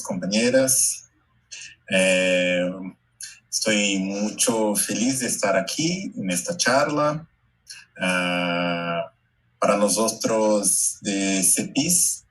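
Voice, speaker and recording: A Brazilian man whose first language is Portuguese, speaking slowly and haltingly in Spanish mixed with Portuguese, giving a talk over a video call. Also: fairly low pitch, a bit bright, low-quality recording. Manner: slow, careful, halting Portuguese-Spanish mix with many pauses. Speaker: invited speaker, educator.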